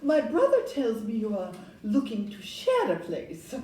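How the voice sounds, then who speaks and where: Roomy, distant recording. Actress as young Victorian house agent: polite, brisk questioner, lively mellow voice. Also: female voice, a little high. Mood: bright, introducing. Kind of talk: stage audio-play acting. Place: live performance in a club room. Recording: distant roomy live recording, faint noise.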